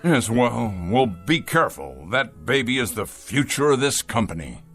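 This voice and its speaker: A callous corporate boss from a sci-fi radio play. His delivery swings animatedly and takes its time, with a forceful, sarcastic edge. He domineers and declaims over a music bed. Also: mid-range voice, very animated, moderate pace. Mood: brisk, condescending. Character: callous, sardonic company boss obsessed with profit. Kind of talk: scripted sci-fi radio drama acting.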